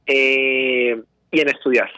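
A man's voice: medium pitch, flat delivery, slow pace, bright, forceful tone, no room sound. A man, a salsa teacher, answering as a guest in a radio studio interview in an earnest storytelling way, often repeating words.

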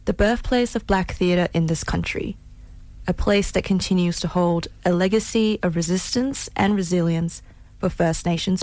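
A woman from Malaysia talks on a community radio show in a low, gravelly voice. She is soft-spoken and searching, pausing often with fillers. The studio sound is dry and close. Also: no echo, poor audio. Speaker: radio co-host. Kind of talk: reflective radio conversation.

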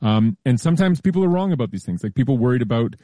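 A man explaining science news in a relaxed, careful way during a casual chat, with frequent hedges and restarts. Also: medium pitch, very fast, dry recording, clean studio sound. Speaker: science columnist.

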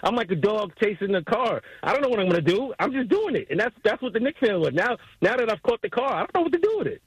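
A man's voice: sits high, sing-song, fast talker, slightly rough, no room sound, rough recording. A man from Brooklyn with an American accent, chatting casually and animatedly as a sports fan, repeating himself in bursts. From Brooklyn, New York. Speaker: radio producer, guest sports fan. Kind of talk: casual chat.

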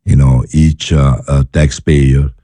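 Italian-accented man with a very deep, dark voice. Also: flat delivery, dry recording. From Italy, born in Naples, raised in Milan. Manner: halting, many fillers and restarts, earnest. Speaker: guest, chef and restaurateur. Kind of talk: studio interview. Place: studio.

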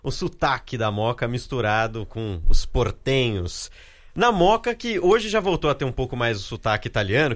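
A man giving a lively live field report. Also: mid-range voice.

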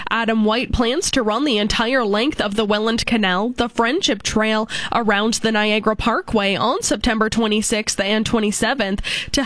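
A woman reading the news and weather as a radio anchor, in a steady, measured delivery. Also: fairly high pitch, no echo. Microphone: studio microphone.

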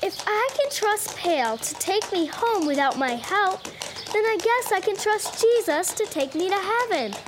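Woman playing a very high-voiced confident country girl. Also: expressive, a little slow, noisy background. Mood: calm, assured. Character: confident, self-reliant young country girl who rides horses.

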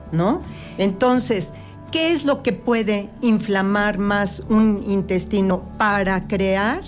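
A woman takes her time, laying out ideas patiently with homely examples. She speaks at a mid pitch and a slow, steady pace. Soft music plays faintly underneath. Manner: explains patiently with everyday examples. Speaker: health guest expert. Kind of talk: explanatory talk-show chat.

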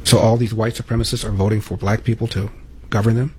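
A man's voice: lowish voice, flat delivery, fast, a little mellow, slight background noise. An American man hosting political commentary, delivering an opinionated monologue with emphatic rhetorical questions.

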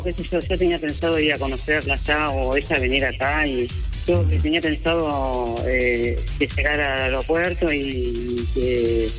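A woman's voice: deep voice, fairly bright tone. A woman from Argentina with an Argentine accent, calling into a radio show and talking in a hesitant way, with many fillers and frequent laughs. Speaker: caller. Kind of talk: phone call into a radio show.

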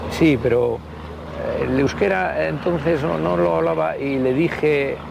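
A man's voice: fairly high pitch, measured pace, vocal fry, very noisy. A man in his sixties with a Basque Country Spanish accent, telling anecdotes casually in a rambling, colloquial way as an interview guest. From Basque Country, Spain. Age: 60s. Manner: rambling, colloquial with frequent tags. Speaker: interview guest, party member.

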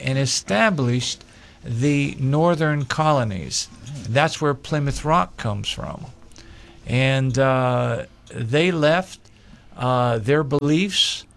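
An older man from Pennsylvania, a local candidate, telling his story slowly in an interview with pauses and fillers. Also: on the high side. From Pennsylvania, USA. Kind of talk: interview answers.

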